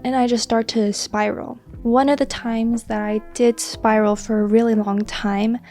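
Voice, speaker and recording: A woman in her twenties, a crochet creator chatting casually and earnestly as she answers viewer questions, sometimes dropping to a soft whisper. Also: fairly high pitch, little pitch movement, measured pace, faint music behind. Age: 20s. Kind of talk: casual Q&A chat.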